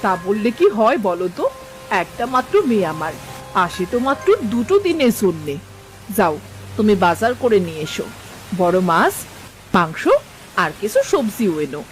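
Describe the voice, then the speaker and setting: Animated, coaxing village mother voiced by a woman. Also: medium pitch, moderate pace, long pauses, lightly acted, low-quality recording. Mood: coaxing, insistent. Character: caring, insistent village mother-in-law, middle-aged housewife. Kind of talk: Bengali cartoon dialogue. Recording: background music under the voice.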